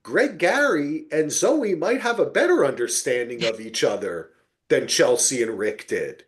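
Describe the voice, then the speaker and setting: A male podcast host chats casually about television with lively, swinging intonation. He rambles and restarts, checks in for agreement and swears freely. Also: fairly high pitch. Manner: rambling, halting, checks in with "right?" and "you know".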